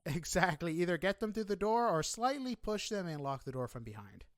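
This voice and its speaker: Guy podcast host chatting casually, kinda high voice, animated and informal, pretty dry sound. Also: a little fast, no room sound. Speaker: podcast host interviewing guests. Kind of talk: casual interview chat.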